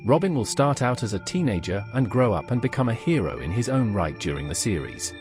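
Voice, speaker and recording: A man's voice: on the low side, very animated, brisk, music in the background. A man hosting a pop culture show, reading scripted explanatory narration.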